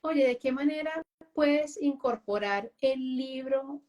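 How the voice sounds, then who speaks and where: A woman's voice: high voice, slow pace, darkish tone, muffled recording. A woman guest in a casual conversation, talking freely and checking in with tag questions as she goes. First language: Spanish. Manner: chatty, full of tag questions.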